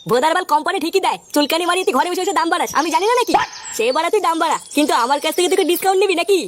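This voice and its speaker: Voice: very high voice, rapid-fire, a little hiss or hum. A performer voicing a brash, foul-mouthed young Bengali troublemaker in comic cartoon dialogue, coarse, slangy and combative.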